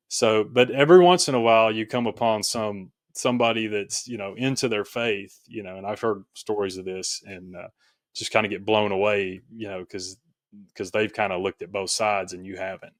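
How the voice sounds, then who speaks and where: A man telling his personal story reflectively as an interview guest, in a halting, thoughtful way, often correcting himself. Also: lowish voice, dry recording, clean studio sound. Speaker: podcast guest, author.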